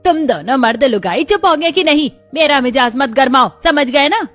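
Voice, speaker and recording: A woman's voice: high-pitched, expressive, normal speed, fairly bright tone, pitched above the performer's own voice. A woman voicing a blunt old village mother-in-law in a children's story, scolding yet fond, speaking in colloquial exclamations. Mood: angry scolding.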